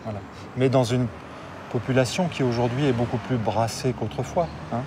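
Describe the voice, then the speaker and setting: A man's voice: mid-range voice, steady tone, moderate pace, recorded from a distance. Man speaking off-mic over street noise. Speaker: expert interviewee. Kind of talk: interview.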